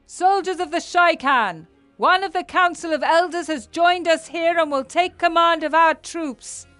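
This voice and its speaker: Proud game warrior, high voice, headstrong, assertive, declamatory. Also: female voice, measured pace, fairly bright tone, soft background music. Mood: rallying. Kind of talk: dramatic video game acting.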